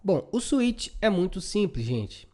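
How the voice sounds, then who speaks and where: A man's voice: high voice, sing-song, measured pace, warm tone. A Brazilian man giving an informal, chatty coding tutorial, often checking in with short tag questions. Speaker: programming instructor.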